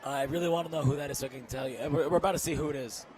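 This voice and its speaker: A young man with a hoarse, strained voice doing excitable live play-by-play commentary outdoors at a football game. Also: a little high, rapid-fire. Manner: excitable, shouts on big plays. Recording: loud outdoor stadium crowd noise.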